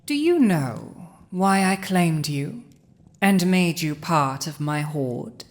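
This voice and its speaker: A woman acts out a villainous dragon who rules a conquered throne. The voice sits on the low side and moves very slowly, each phrase deliberate. It is commanding and possessive, with a teasing edge, as intimate fantasy audio roleplay. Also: lively intonation. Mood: tender, possessive. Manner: slow, deliberate, dominant, teasing.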